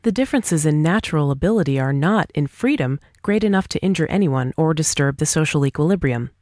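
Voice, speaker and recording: A woman's voice: low voice, lively intonation, fairly quick, darkish tone, no room sound, clean studio sound. A woman reading a scripted dialogue aloud as for an audiobook, in a formal, argumentative manner. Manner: formal, argumentative, rhetorical questioning. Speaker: audiobook reader.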